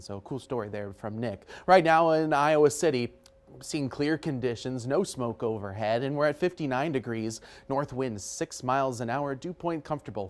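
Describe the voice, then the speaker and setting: A man presenting an ad-libbed TV weather forecast in a conversational, informal way. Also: medium pitch.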